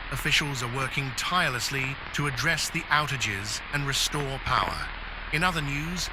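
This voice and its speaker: A man playing a composed news reporter, reading a formal storm report in a measured way. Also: medium pitch, background noise, natural voice.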